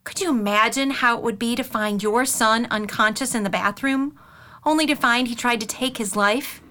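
A woman's voice: on the high side, moderate pace, pushed and bright. An actress playing an anxious, earnest middle-aged mother in an audio drama, fussy and insistent. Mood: earnest, worried.

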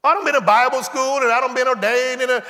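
Pastor in his forties, high bright voice, playful preaching, acts out both sides of a dialogue. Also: fast, in a large hall. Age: 40s. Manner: conversational preaching, acts out dialogue, humorous asides. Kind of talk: sermon. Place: church sanctuary.

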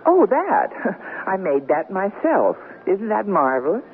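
Arch wife, tinny radio. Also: female voice, medium pitch, sing-song, slow, music in the background. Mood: arch, breezy. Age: middle-aged. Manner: arch, dry teasing. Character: mature, witty, self-assured newlywed wife, teasing. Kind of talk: radio comedy drama acting. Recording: tinny, noisy old radio broadcast recording.

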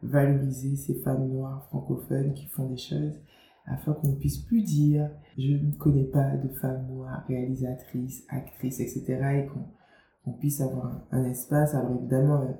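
Young woman from Guadeloupe, interview guest, very mellow voice, chatty with restarts, echoey room, faint hiss. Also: rumbling low. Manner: chatty, lots of fillers and restarts. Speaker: author and media founder, guest. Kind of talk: casual interview talk. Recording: roomy, faint background noise.

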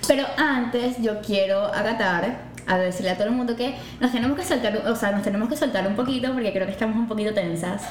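A young woman with a Venezuelan accent, co-hosting a casual podcast chat, animated and emphatic. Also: a little high, quick pace, hardly any pauses. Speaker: podcast co-host. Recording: echoey room with faint noise.

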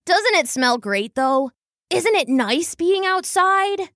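A cheeky little weasel boy voiced by a woman storyteller, high and earnest, coaxing and teasing in an unhurried way. The storybook character sounds close and dry. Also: lively intonation, fairly bright tone, no echo, heavily acted. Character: small, cheeky, earnest young weasel brother.

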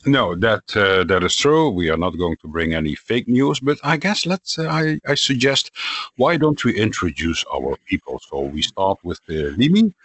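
A man hosting a casual radio panel discussion over a remote call, relaxed and hesitant, with frequent fillers. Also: fairly low pitch, moderate pace. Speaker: radio host.

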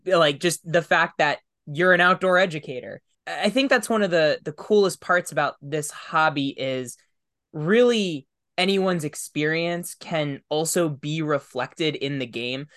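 A man's voice: on the high side, unhurried, fairly bright tone. A man hosting a podcast in casual interview chat, speaking in a conversational, affirming way. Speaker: podcast host.

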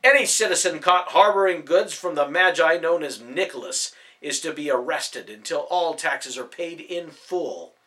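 A man voicing an arrogant, cruel soldier captain who shouts orders and taunts. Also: a little high, moderate pace, hardly any pauses, voice pushed up. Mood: commanding.

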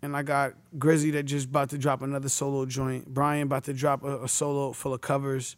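A man's voice: mid-range voice, monotone, fairly quick, dry recording. A man in his twenties, a rapper being interviewed, talking in laid-back street slang and filling his talk with casual asides. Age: 20s. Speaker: rapper guest.

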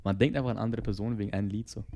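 A man's voice: on the low side, steady tone, rapid-fire, gravelly, mellow, a little hiss or hum. A young man co-hosting a podcast, chatting casually with friends in slangy street talk and laughing often. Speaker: podcast co-host. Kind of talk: casual chat among friends.

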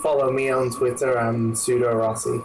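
A man's voice: mid-range voice, level tone, normal speed, far from the mic, background noise. A man calling in to a podcast to praise the hosts, talking hesitantly. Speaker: caller. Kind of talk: phone-in chat.

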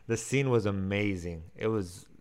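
A man's voice: on the low side, normal speed, a little mellow, clear, resonant tone. A man in his twenties, a co-host chatting casually and easily, often repeating short phrases. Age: 20s. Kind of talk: casual podcast chat.

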